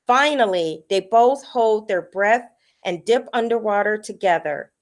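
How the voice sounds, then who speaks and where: A woman reading a picture book aloud to children in a deliberate storytelling manner. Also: medium pitch, expressive.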